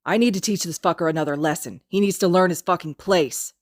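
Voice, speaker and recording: A woman's voice: fairly low pitch, fast talker, dry recording, studio quality, lightly acted. A woman reading a fanfic aloud, voicing a furious, domineering teenage boy who snarls and curses. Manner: snarling, cursing, shouting.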